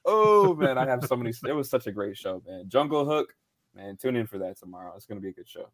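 A man's voice: medium pitch, big pitch swings, fast. A man co-hosting a live podcast, chatting casually and loosely, swearing a lot and saying "bro" and "man". He is losing his voice. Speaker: podcast co-host. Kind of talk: casual live-stream chat.

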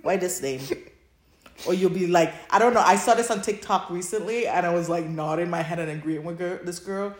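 A woman chatting casually as a podcast co-host, telling stories in an animated way. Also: on the low side, brisk, reverberant. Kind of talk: casual chat about fan fiction.

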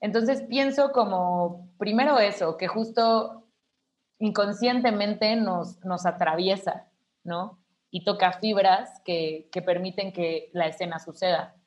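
A woman in her twenties, an actress, talking thoughtfully as a podcast guest, tired yet energetic, often ending phrases with a questioning tag. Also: mid-range voice, slow. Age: 20s. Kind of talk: reflective conversation.

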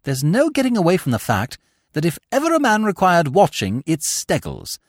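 Man doing an toff, young, breezy, upper-class slang, lively swooping pitch, dry sound. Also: normal speed, dry recording, heavily acted. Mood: exasperated. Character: young male English gentleman, breezy and flustered.

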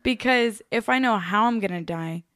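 A woman's voice: medium pitch, normal speed, fairly bright tone, no echo, clean studio sound. A young woman co-hosting a podcast, chatting in a laid-back, slangy way. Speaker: podcast co-host. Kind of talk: casual chat.

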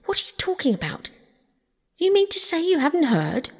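An emphatic, husky river rat from a storybook, played by a woman. He is earnest and excitable, with old-fashioned speech that leaps about in pitch, and long reverb trails the voice. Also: normal speed. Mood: shocked, urgent. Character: earnest, scolding, excitable male river rat, loyal friend.

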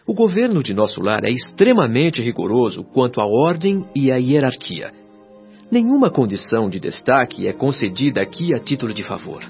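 A Brazilian actor playing a patient, earnest male spirit guide in a radio drama, explaining in formal, measured, articulate phrases. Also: medium pitch, big pitch swings. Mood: calm, instructive. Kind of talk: radio drama acting, didactic dialogue. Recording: dull lo-fi radio drama, music behind. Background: music.

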